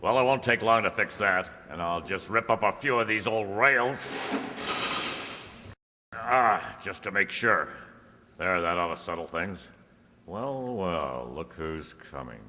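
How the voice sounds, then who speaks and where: A man playing a confident, heroic superhero in a radio drama, calm, commanding and thinking aloud. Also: low voice, unhurried, exaggerated voice. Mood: brisk, assured. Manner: self-assured, narrating own actions aloud. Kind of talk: old-time radio drama acting. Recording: reverberant recording with clear background noise.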